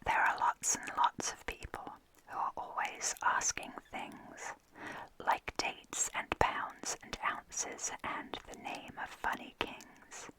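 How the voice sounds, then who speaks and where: Slow, airy ASMR whisper. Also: low-quality recording. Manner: soft unvoiced whisper throughout. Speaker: reader of children's poems. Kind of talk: ASMR poem reading.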